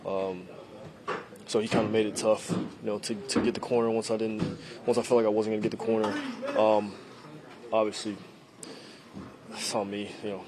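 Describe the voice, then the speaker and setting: A young man answering reporters' questions after a game, halting and repeating himself with many fillers, close to handheld mics in a locker room. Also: on the low side, little pitch movement, noisy background. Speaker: quarterback at a postgame press conference. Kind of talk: postgame press answers. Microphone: handheld reporter mics at close range.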